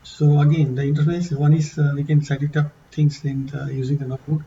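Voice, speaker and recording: A man with an accent walking through a software demo in a halting, casual way, with many fillers and restarts. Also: a little high, flat delivery, muffled recording, a little hiss or hum. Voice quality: non-native English accent. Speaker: tutorial presenter. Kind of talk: casual screen-share walkthrough.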